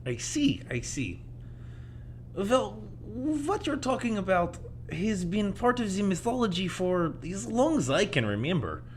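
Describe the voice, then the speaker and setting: A man's voice: sits high, big pitch swings, a little slow, slight background noise. A man playing a genial little old man who loves the paranormal, speaking in an erudite, musing, theatrical way in an audio drama. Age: older.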